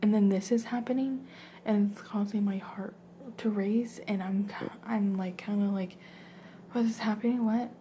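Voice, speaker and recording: Young woman whispering a long ramble, flat and mellow, lots of likes and ums. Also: mid-range voice, normal speed, slight background noise. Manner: soft whisper, rambling with many fillers. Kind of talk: whispered storytime.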